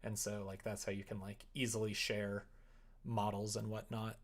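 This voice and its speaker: Male streamer, lower register, strong vocal fry, informal work-along chat, half talking to himself. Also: completely flat delivery, moderate pace. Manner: informal, muttering to himself while working. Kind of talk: casual livestream chat while coding a visual novel.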